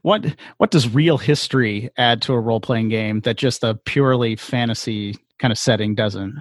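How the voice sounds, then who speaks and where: A man's voice: mid-range voice, moderate pace, dry recording. Dry-sounding man, casual interviewer. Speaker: podcast host, interviewer.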